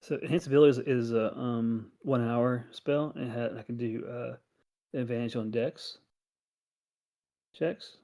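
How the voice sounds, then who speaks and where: Man player thinking out loud, mid pitch, hesitant, lots of restarts. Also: fairly even intonation, measured pace. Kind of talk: planning aloud in a tabletop game.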